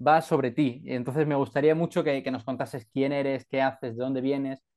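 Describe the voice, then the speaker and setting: A man's voice: medium pitch, mellow. Friendly male podcast host. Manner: friendly, stammers and repeats words, tags questions with "¿no?". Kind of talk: interview hosting.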